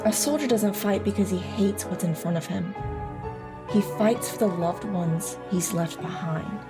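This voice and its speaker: A woman's voice: medium pitch, measured pace, natural voice. Casting: actress as soldier's wife, devoted mother, reflective and tender audio drama delivery, music underneath. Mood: sad, reflective.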